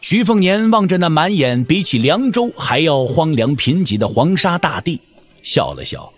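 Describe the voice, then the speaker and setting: A man delivers dramatic scripted narration as the storyteller of an audio drama. His voice sits somewhat high and swings widely in pitch, expressive throughout. Faint music plays behind him. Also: normal speed.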